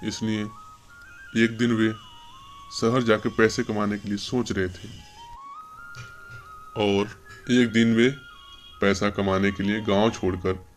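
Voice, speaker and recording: A man's voice: on the low side, level tone, slow pace, long pauses, faint music behind. A man telling a scripted children's story as a narrator.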